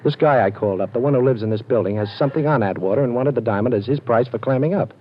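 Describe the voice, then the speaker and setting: A cool ex-con detective from a 1940s radio mystery, as an actor plays him, firing off breezy wisecracks at a brisk clip. The recording is dull and lo-fi with faint hiss. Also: male voice, medium pitch, lightly acted. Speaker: lead detective.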